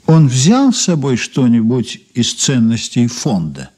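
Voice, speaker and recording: Husky, mellow investigator. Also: male voice, mid-range voice, big pitch swings, measured pace. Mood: probing. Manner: polite, questioning, hesitant probing. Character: polite, probing male investigator, patient and wily. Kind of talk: Soviet radio play acting, 1980s.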